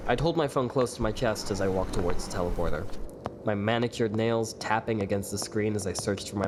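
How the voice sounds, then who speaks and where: A man's voice: fairly low pitch, noisy background, lightly acted. A man playing a weary, glamorous performer who narrates his own story in an audio drama with sardonic, theatrical grumbling. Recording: music behind the voice.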